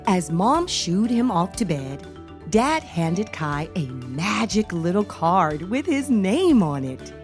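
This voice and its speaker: A woman hosting a children's read-aloud, narrating in an expressive, deliberate way as she addresses young listeners. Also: on the low side, soft background music. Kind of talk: expressive children's storybook reading.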